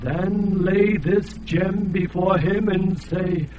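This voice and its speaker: An actor playing an ancient, blinded alien god who is enslaved and sorrowful, speaking in a grave, chanting way. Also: male voice, high-pitched, highs cut off, background noise. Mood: sorrowful, solemn. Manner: slow, grave, chanting delivery. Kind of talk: radio drama acting.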